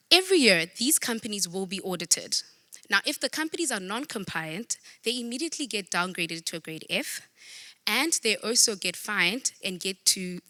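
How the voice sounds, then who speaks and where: A young woman with a South African accent, speaking as a student presenter giving a prepared pitch. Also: bright, forceful tone. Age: young adult.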